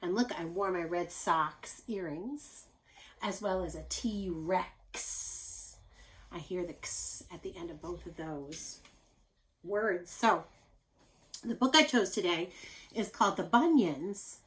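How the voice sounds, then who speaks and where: A woman teacher reading a children's story aloud, explaining things simply and speaking directly to young listeners with expressive emphasis. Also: on the low side, slow pace, rough recording.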